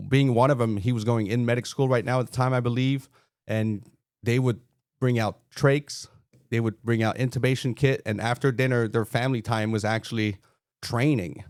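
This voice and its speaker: A man's voice: mid-range voice, darkish tone, no room sound, studio quality. A man in his forties from Montreal with a Quebec French accent, French his first language, telling stories easygoing as a firefighter-paramedic guest on a podcast interview. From Montreal, Canada. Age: 40s.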